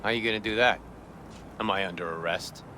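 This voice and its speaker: A man's voice: fairly low pitch, fairly quick, background noise, natural voice. A man playing a cynical, world-weary corrupt cop in a video game, with an American accent and scornful, lecturing street talk. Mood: dismissive.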